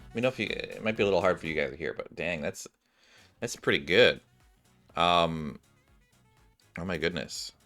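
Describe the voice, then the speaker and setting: A man doing casual game-stream commentary in an animated way, thinking aloud and sometimes reading on-screen text. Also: low-pitched, moderate pace, long pauses. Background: game music.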